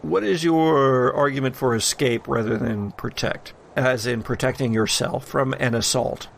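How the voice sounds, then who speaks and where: A man runs a horror tabletop game as game master, speaking slowly with a creaky edge. His storytelling is dramatic and deliberate as he addresses the players. There is faint noise in the recording. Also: medium pitch.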